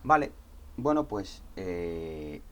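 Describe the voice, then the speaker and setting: Low-voiced man giving an informal tutorial. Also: very animated, drawn-out words. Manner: conversational, checks understanding with tag questions. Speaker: tutorial presenter. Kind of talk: informal step-by-step software tutorial.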